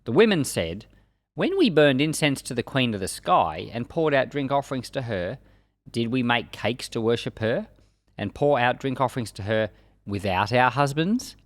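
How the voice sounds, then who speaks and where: Male scripture reader. Solemn and emphatic, widely varied pitch, very dry close sound. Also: expressive, moderate pace, dry recording. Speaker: Bible reader and teacher.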